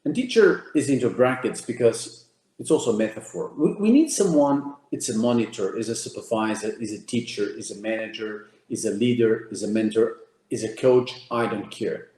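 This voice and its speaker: A man's voice: lowish voice, expressive, moderate pace, mellow, roomy sound. A man whose first language is Portuguese, giving an emphatic presentation to an audience, counting off key ideas and repeating words as he goes. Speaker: speaker giving a talk.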